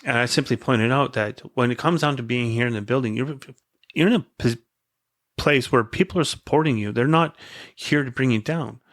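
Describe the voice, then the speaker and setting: A man's voice: mid-range voice, normal speed, fairly bright tone. A man, a podcast guest, talking earnestly in a casual conversation, as if giving a short speech, with an occasional stutter. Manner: stutters at times.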